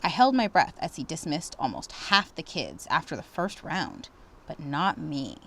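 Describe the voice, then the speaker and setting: Animated woman reading a children's story. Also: low voice, unhurried. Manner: animated, dramatic. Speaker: storybook reader. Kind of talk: expressive children's read-aloud.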